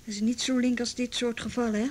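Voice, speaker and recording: An actress playing a seasoned, thoughtful juvenile police officer in a frank, naturalistic radio drama style. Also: female voice, a little high, flat delivery, fast, darkish tone, lightly acted. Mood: concerned, thoughtful. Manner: frank, thoughtful, matter-of-fact.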